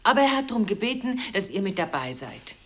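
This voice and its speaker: Bestimmende Mutter, sehr lebhafte Sprachmelodie. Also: female voice, mid-range voice, very animated, fairly quick, fairly bright tone. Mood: announcing. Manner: commanding, shushing, coaxing. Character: bossy, doting mother organising children for a portrait. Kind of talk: German radio play acting.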